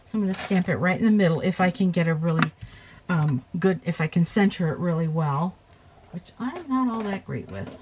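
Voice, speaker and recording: Woman host of a home craft tutorial, chatty and self-correcting, a bit hoarse, lower voice, faint background hiss. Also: animated, a little fast. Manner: chatty, talks while working, self-correcting. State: slightly hoarse, coming down with a cold. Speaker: paper craft demonstrator.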